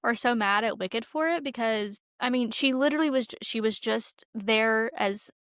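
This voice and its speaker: A woman's voice: a little high, moderate pace, a bit bright, dry recording, studio quality. A young adult woman co-hosting a podcast, chatting informally and emphatically about films. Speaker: podcast co-host. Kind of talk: casual chat about films.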